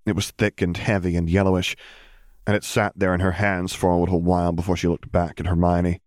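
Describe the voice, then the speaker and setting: Low-pitched man reading a story. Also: little pitch movement, brisk, no room sound, studio quality. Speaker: audiobook narrator. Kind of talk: scripted story narration.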